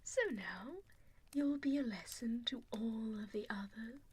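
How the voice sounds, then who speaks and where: A woman voicing an evil, possessive female vampire in a breathy ASMR whisper, deliberate and mockingly polite, speaking close into a binaural mic. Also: fairly high pitch, darkish tone. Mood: cold, gloating. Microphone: binaural ear microphone, close up. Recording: close binaural mic with audible background noise.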